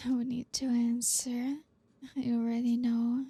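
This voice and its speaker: A woman acts out a dominant female boss in an intimate ASMR roleplay. Her voice is mellow and breathy, lingering very slowly over each teasing instruction. She stays softly commanding and flirty, close on the mic. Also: a little high, little pitch movement, dry recording, exaggerated voice. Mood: amused, teasing. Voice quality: breathy, soft. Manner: slow, deliberate, teasing, softly commanding with breathy hushed phrases. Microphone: close microphone, dry.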